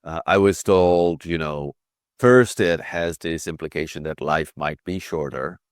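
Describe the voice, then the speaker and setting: A man in his sixties from Israel, a guest healer telling his story slowly with frequent pauses. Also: rumbling low, clean tone, dry recording, studio quality. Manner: slow, measured, with frequent pauses and fillers. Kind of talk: interview conversation.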